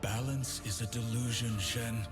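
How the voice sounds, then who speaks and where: Guy doing a grim solemn game swordsman, real slow and deliberate, music behind him. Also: mid-range voice, fairly even intonation, natural voice. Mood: solemn, menacing. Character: grim, solemn male demon-hunting swordsman. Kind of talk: scripted game character lines.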